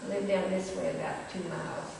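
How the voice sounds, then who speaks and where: Creaky older woman in an echoey hall. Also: fairly low pitch, fairly even intonation, fairly quick. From rural Missouri, United States. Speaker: former pupil and local historian sharing memories. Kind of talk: casual reminiscence. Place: large echoing indoor room, recorded from a distance. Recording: very echoey hall, distant mic, noisy.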